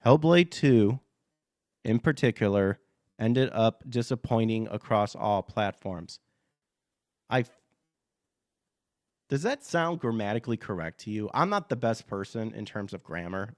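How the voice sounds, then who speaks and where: Hoarse man ranting on a podcast. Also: fairly low pitch. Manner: blunt, profane, ranting asides with fillers. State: hoarse from allergies. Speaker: podcast host. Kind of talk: casual commentary reading news articles aloud.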